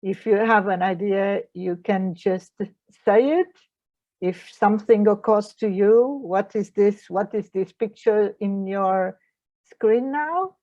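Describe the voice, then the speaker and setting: A woman's voice: medium pitch, slow pace, a little mellow, highs cut off. A middle-aged woman from Portugal with a Portuguese accent, speaking English as a second language while giving an online lecture as a mediator and trainer, with frequent hesitations and non-native phrasing.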